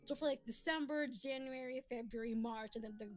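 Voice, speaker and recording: A woman with a high, youthful-pitched voice hosts a laid-back stream. She rambles in a giggly way, restarting phrases as she goes, with faint music playing underneath. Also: fairly quick. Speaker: streamer and host, comic dub maker. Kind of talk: casual livestream chat. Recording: soft music playing behind the voice. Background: soft lofi music.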